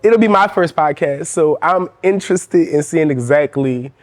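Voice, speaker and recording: A man, reflecting calmly in a reality show confessional. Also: fairly high pitch, moderate pace. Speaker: reality show cast member. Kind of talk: confessional recounting.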